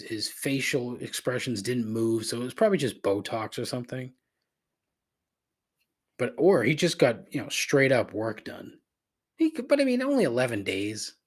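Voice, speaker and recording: A congested, slightly nasal man hosting a solo podcast, rambling and joking at his own expense on a cheap microphone in a cavernous, echoey room. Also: mid-range voice. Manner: rambling, self-deprecating, many fillers and restarts. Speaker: podcast host. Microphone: cheap desk microphone.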